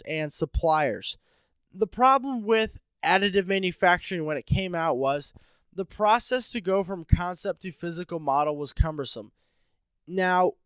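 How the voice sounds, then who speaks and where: Guy with a head cold hosting his podcast, kinda high voice, smooth and clear, casual and upbeat. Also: no echo. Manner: upbeat, informal, conversational. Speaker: podcast host. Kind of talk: solo podcast explainer.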